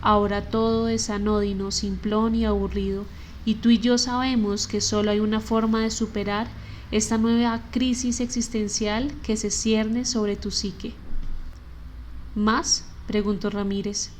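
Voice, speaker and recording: A woman reading a novel aloud as a podcast narrator, steady and unhurried. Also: mid-range voice, a little hiss or hum. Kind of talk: audiobook reading.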